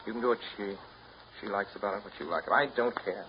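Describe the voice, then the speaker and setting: A man's voice: lowish voice, quick pace, natural voice. An actor playing a weary, detached man who is through with a relationship, speaking bluntly and with resignation in 1930s radio drama style. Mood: indifferent, resigned. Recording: muffled, noisy 1930s radio broadcast recording.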